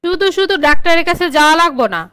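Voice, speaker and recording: A meek young cartoon wife voiced by a woman with a high voice and quick speech. She sounds obliging and self-effacing in colloquial Bangladeshi. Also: sharp tone, no room sound, studio quality. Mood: meek, reassuring. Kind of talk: cartoon drama dialogue.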